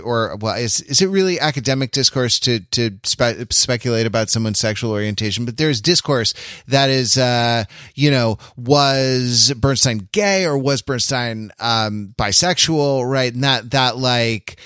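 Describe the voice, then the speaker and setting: A man of average pitch discusses film casually as a podcast panelist. He is halting, repeating words and rephrasing while he builds a point, recorded close and dry without room sound. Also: fairly bright tone, dry recording.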